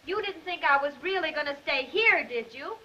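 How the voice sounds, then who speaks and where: A young woman in a 1930s crime picture. Her voice is high and bright, with period film delivery, on a muffled old soundtrack. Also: expressive, normal speed. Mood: spirited.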